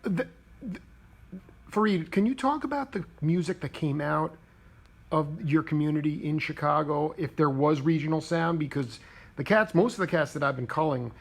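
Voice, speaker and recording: A male host with a fairly high voice jumps in eagerly, cutting in with opinions and then long, winding questions. His tone stays conversational and quick to interrupt. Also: vocal fry. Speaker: radio show host. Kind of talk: casual interview chat. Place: live interview at a music retreat.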